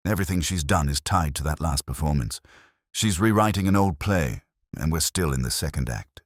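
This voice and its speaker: A very deep-voiced police inspector, played by a male reader, calm and courteous in an audiobook mystery. He talks softly and with measured care, shrewd in every line, in a close, dry recording. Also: no echo. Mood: grave. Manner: slow, soft-spoken, measured. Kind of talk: audiobook character dialogue.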